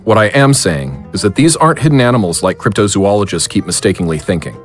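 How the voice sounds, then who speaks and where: A man playing a calm, learned occult investigator in an audio drama, explaining things in measured, wordy sentences. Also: on the low side, soft background music, lightly acted. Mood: calm, explanatory.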